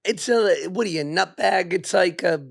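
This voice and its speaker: Slightly hoarse man, playful podcast host. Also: sits high, normal speed, no echo, studio quality. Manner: playful, teasing, laughs often; tells anecdotes in an animated way. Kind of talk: casual chat.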